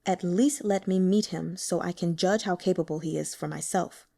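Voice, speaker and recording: A woman voicing a calm, shrewd, frail young male strategist who speaks in a measured, guarded way. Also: fairly low pitch, creaky, voice pushed down.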